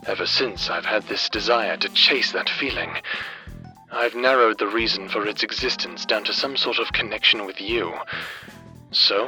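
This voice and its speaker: A man playing an earnest, reserved private investigator in an anime roleplay audio drama, speaking in measured, formal phrases. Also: on the low side, bright, forceful tone, low-quality recording. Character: earnest male private investigator, reserved, secretly fond of client.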